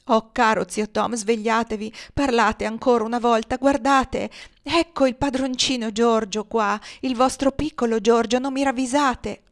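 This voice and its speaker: A woman voicing a loyal young gentleman in an audiobook, grieving, vehement and pleading. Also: on the high side, fairly even intonation, moderate pace, pitched above the performer's own voice, natural voice.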